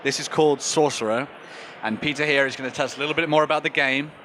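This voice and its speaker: A male host with a bright, forward tone speaks in a smooth, upbeat presenter manner. He is outdoors with faint traffic noise behind him. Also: fairly high pitch, fairly even intonation, fast talker. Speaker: interviewer. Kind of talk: convention interview.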